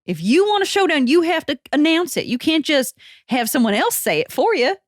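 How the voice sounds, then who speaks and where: A confident, sassy cowgirl gunfighter in a tabletop western, played by a woman. The delivery swings widely in pitch as it taunts and challenges, and the sound is dry and close. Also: big pitch swings, fairly quick, no room sound. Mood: indignant.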